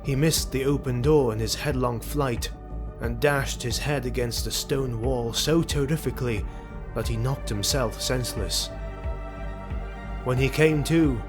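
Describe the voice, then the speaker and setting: Music plays clearly under a male narrator with a mid-pitched voice. He delivers a dramatic fantasy story with solemn, deliberate phrasing and a literary, storyteller's cadence. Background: background music.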